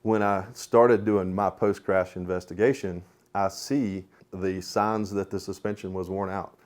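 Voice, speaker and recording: Low-voiced man explaining methodically. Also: flat delivery, unhurried, a little mellow. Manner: plain-spoken, methodical, explaining step by step. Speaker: police sergeant and crash investigator, instructor. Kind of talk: explanatory training talk.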